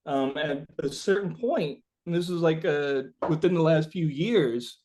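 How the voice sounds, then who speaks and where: Man talking haltingly in an interview. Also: on the high side, a little mellow. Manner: halting, searching for words. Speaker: interviewed musician. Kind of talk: casual interview conversation.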